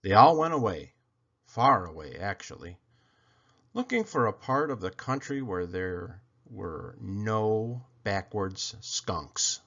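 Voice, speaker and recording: A man's voice: lowish voice, lively intonation, takes it slowly, fairly bright tone, dull audio. A man reading a children's story aloud expressively, leaning dramatically on key words. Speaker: storybook reader.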